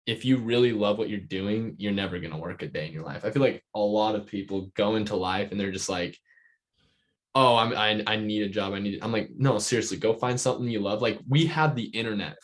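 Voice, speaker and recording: A man hosting a casual interview podcast, rambling and enthusiastic, peppering his sentences with "like". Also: on the low side. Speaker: podcast host.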